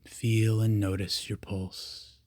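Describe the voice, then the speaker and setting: Low, husky man guiding meditation. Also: dry recording. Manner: calm, repetitive, unhurried cues with long pauses. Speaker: meditation guide. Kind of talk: guided meditation instruction.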